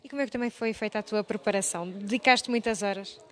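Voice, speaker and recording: A woman's voice: mid-range voice, quick pace. A woman reporter with a European Portuguese accent, asking short, friendly questions into a handheld mic in a library hall. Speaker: local TV reporter. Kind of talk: interview questions.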